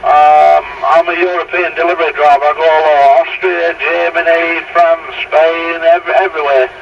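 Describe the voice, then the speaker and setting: A man's voice: fairly high pitch, little pitch movement, normal speed, vocal fry. A man chatting casually over a CB radio transmission. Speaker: CB radio contact. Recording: dull, distant, very noisy CB radio. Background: loud radio noise.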